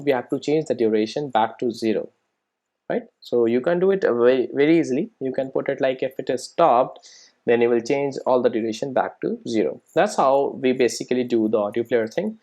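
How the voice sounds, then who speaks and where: A software instructor giving an informal screencast coding tutorial, explaining step by step and often checking that listeners follow. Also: mid-range voice, lively intonation, normal speed. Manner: explanatory, frequently checking understanding with tag questions.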